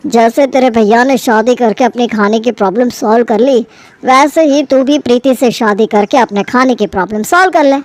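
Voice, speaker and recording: A woman's voice: fairly high pitch, moderate pace, no echo, lightly acted. Woman as chill practical office buddy guy in a cartoon, giving advice, reassuring, dry audio. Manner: matter-of-fact advising. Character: young male office friend, practical, reassuring. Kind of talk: cartoon story acting.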